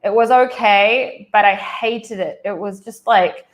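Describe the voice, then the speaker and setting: A woman's voice: medium pitch, measured pace, rough recording. Woman, 30s, New Zealand accent, interview guest, casual storytelling, chatty, restarts, laughs easily, video call.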